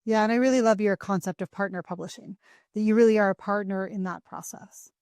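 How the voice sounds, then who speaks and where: A woman hosting a podcast who asks long, thoughtful questions in a relaxed conversational way. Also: mid-range voice, quick pace, dry recording, clean studio sound. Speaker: podcast host. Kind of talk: casual interview conversation.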